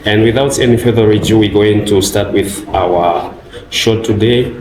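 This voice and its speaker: Deep-voiced male host, echoey and distant. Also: normal speed. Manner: warm and encouraging, with many backchannels. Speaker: interview host. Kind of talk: casual interview. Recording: roomy and distant with background noise.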